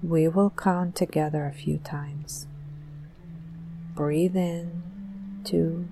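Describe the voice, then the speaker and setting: A woman leading a guided meditation in a gentle, soothing, deliberate manner with long pauses. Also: deep voice, steady tone, noisy background.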